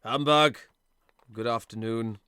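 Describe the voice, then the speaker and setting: A man's voice: medium pitch, takes it slowly, bright, forceful tone, smooth, no room sound. A man performs a bitter old miser in an audio play. His voice is hard-edged and tense, with a sneering, curmudgeonly bite. Scorn sits in his delivery, and the sound is close and dry. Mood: sarcastic, dismissive.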